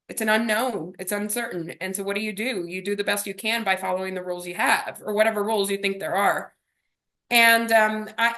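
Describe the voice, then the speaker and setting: A woman's voice: mid-range voice, sharp tone. A woman from Miami, a native Spanish speaker, telling her personal story as an interview guest in a reflective way, often checking in with short rhetorical questions. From Miami, USA.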